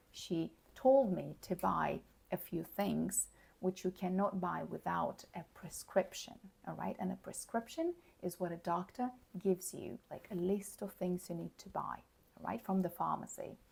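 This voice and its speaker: Hoarse raspy english teacher lady, talks slow, explains every word. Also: on the low side, darkish tone. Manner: explains words plainly, checks in with "okay?" and "all right?". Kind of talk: slow, clear teaching monologue.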